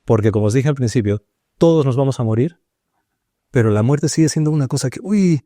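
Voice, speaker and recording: A man from Peru, a guest on a studio panel, talking through his thoughts slowly with many pauses and restarts. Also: medium pitch, animated, dark tone, no room sound. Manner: searching, hesitant, full of restarts and pauses. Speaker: panel guest, paranormal researcher. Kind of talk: reflective round-table discussion.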